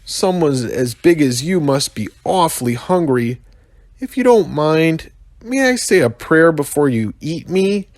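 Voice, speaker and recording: A man voicing a tiny, polite female bush baby in a children's story, earnest and gently instructive. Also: a little high, expressive, measured pace, no echo, clean studio sound, pitched above the performer's own voice. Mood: timid, polite.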